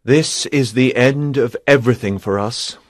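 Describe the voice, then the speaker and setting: A man's voice: mid-range voice, unhurried, a little mellow, no room sound, studio quality, close to an everyday voice. An actor playing a proud, brave knight devoted to his queen in a dramatised storybook. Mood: grave, sad.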